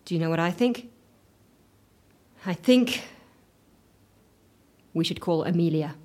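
An actress playing a serious, worried office colleague in an audio drama, pressing and sceptical in manner. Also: female voice, fairly low pitch, expressive, takes it slowly, darkish tone. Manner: earnest, pressing.

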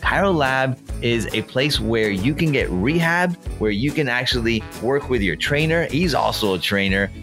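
A man's voice: mid-range voice, expressive, moderate pace, few pauses, a bit bright, faint music behind. A man born in Colombia hosting a casual podcast interview, enthusiastic and a bit rambling, repeating his praise. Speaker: podcast host.

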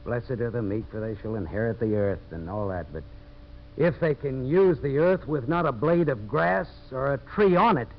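Man acting a tough, earnest radio-drama hero, widely swinging pitch. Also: animated, moderate pace, natural voice. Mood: hushed, earnest. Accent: American. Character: tough, determined man turned earnest champion of settlers. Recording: muffled, hissy old radio broadcast.

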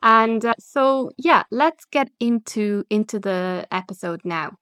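A woman's voice: a little high, expressive, takes it slowly, fairly bright tone, smooth, no room sound, clean studio sound. A woman from Ireland, an English teacher hosting her podcast in an upbeat, encouraging, conversational way. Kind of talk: casual solo podcast chat.

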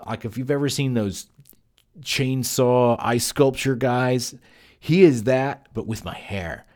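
A man with a somewhat low voice tells a story in a loose, rambling way. He leans on fillers and restarts and keeps a casual, conversational tone throughout. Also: a little mellow. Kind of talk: casual first-person storytelling.

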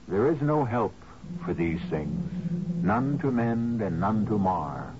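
A man hosting a radio mystery drama, narrating in a solemn, literary, deliberate manner and reciting verse. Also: fairly low pitch, very animated, a little mellow, a little hiss or hum. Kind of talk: scripted dramatic radio narration with poetry quotes. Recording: muffled old radio broadcast, music underneath.

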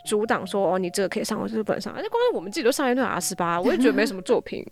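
A woman's voice: mid-range voice, very animated, very fast. A woman with a Taiwanese Mandarin accent, explaining things casually as an interview guest and thinking aloud as she goes. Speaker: guest, founder of an audio drama app.

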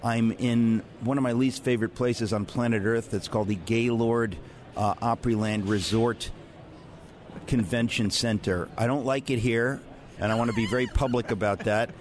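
A man's voice: medium pitch, fairly even intonation, moderate pace. A man hosting a conversational radio interview in a wry, candid manner, speaking from a convention center. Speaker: talk show host. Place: on a platform in a large convention center. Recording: noisy crowded convention floor.

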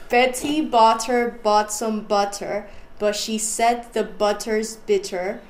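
A woman student with a slight Spanish accent, native in Spanish, speaks slowly and carefully in a pronunciation drill. The classroom recording is roomy, with faint noise. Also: a little high.

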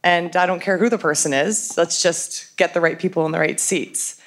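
A woman's voice: lowish voice, steady tone, moderate pace. A woman speaking frankly and forthrightly as a transit executive on a conference panel. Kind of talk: panel discussion.

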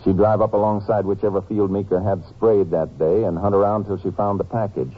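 An actor playing a steady, shrewd Texas Ranger in a 1950s radio drama, speaking and narrating in a terse, matter-of-fact way. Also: male voice, fairly low pitch, brisk, breathy edge. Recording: muffled old-time radio recording with music.

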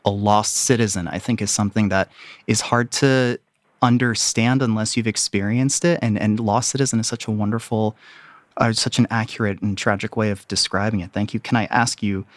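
A man guest-hosting a call-in radio show, gently asking callers questions. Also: on the low side, fairly even intonation, a little fast, dry recording.

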